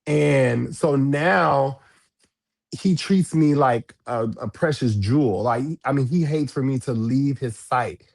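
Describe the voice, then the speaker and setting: A man's voice: medium pitch, takes it slowly, gravelly, darkish tone. A man in his forties, a guest and drag performer, telling stories in a casual podcast interview with many restarts. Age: 40s. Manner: storytelling, frequent restarts and repeated words. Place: podcast studio.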